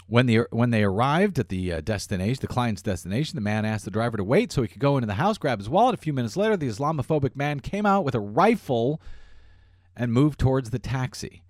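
A man's voice: medium pitch, big pitch swings, fast, dry recording. Man, talk radio host, political monologue, wry, lots of "uh", dead-dry studio mic. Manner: sardonic, frequent "uh" fillers.

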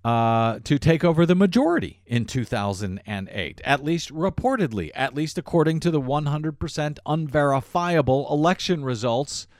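A man's voice: on the high side, animated, normal speed, hardly any pauses, no room sound, studio quality. A man hosting a political talk radio show, delivering a monologue in a sardonic tone with frequent fillers. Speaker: radio host.

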